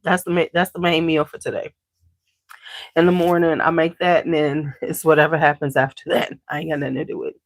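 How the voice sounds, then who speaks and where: A woman's voice: low voice, flat delivery, brisk, dry recording, clean studio sound. A congested woman hosting a beauty channel, chatting casually with viewers at home and greeting them by name. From Chicago area. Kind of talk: casual chat to a live audience.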